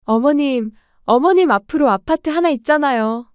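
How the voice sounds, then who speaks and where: A woman's voice: high voice, moderate pace, dry recording, lo-fi sound, voice pushed up, natural voice. A woman voicing a brazen young daughter-in-law in audiobook dialogue, polite on the surface, coaxing and entitled.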